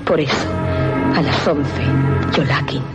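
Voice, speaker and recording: A man's voice: mid-range voice, steady tone, loud music behind the voice. An actor playing a morbid, brooding man who treasures keepsakes of dead girls, in a radio drama. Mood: scheming. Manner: slow, deliberate, brooding. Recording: dull, band-limited radio drama recording.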